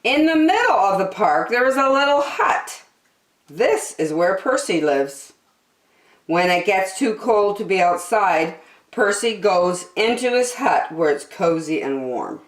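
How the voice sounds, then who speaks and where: A woman reading a children's story aloud expressively, with deliberate, dramatic phrasing. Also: mid-range voice, sing-song, roomy sound.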